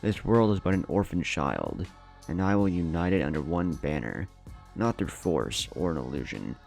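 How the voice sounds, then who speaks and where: A man's voice: low-pitched, big pitch swings, moderate pace, gravelly, a little mellow, faint music behind, heavily acted. A man voicing an earnest, resolute young ninja leader with emphatic speechmaking in a story narration.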